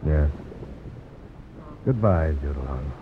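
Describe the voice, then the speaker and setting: A man's voice: a bass voice, lively intonation, slow pace, full character voice. An actor playing a brave, proud frontiersman in a 1950s radio drama, with terse, earnest delivery. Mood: hurt, restrained. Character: brave, proud frontiersman and knife fighter, wounded. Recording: muffled narrowband old radio broadcast, faint hiss.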